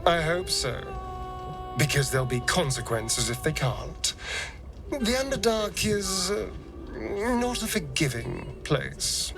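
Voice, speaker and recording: Slow, theatrical, lilting male vampire. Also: on the high side, animated. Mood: wistful, reflective. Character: theatrical male vampire newly freed from his master. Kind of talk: dramatic video game voice acting. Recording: slightly distant with music underneath.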